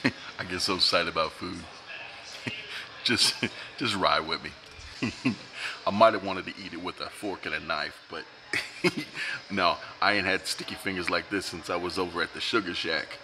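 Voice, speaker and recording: A man's voice: deep voice, normal speed, long pauses, background noise. A man, reviewing food in a casual, joking vlog while eating at a restaurant. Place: restaurant dining room, indoors.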